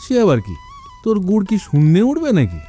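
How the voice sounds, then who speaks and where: Man as a mellow, scoffing village craftsman. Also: high-pitched, wildly swooping pitch, fairly quick, soft background music, exaggerated voice. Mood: scornful disbelief. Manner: scoffing, plain-spoken, chiding. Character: hardworking, scoffing traditional village jaggery maker, older brother type. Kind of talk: animated cartoon dialogue.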